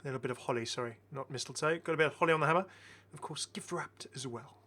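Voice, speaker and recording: A man's voice: on the high side, fast, sharp tone. A man giving casual, enthusiastic game commentary as a chatty, rambling video host.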